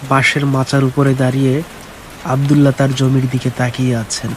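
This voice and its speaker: A man's voice: mid-range voice, completely flat delivery, a little fast. A man narrating a scripted folk tale as storyteller. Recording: faint hiss and rain noise.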